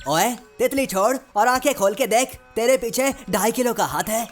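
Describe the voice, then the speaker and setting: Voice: medium pitch, moderate pace, soft background music, natural voice. A performer voicing a wisecracking cartoon sidekick who teases and comments in punning, filmi one-liners. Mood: teasing. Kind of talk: Hindi comedy cartoon voice acting.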